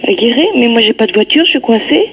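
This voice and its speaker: A woman's voice: a little high, lively intonation, fast, husky, dull audio. Woman, phone conversation, spontaneous, plain-spoken, repetitive phrasing. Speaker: prank call recipient. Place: at home, on the telephone.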